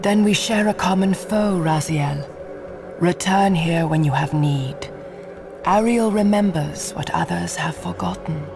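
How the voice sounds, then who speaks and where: An actor playing an ancient imprisoned ghost who speaks slowly and solemnly, lamenting, over game music. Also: mid-range voice, vocal fry.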